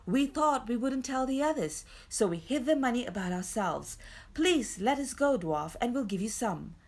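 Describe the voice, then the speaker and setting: A woman's voice: a little high, lively intonation, moderate pace, a little mellow, pitched above the performer's own voice. A woman voicing an earnest, plaintive young girl in a children's storybook. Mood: pleading.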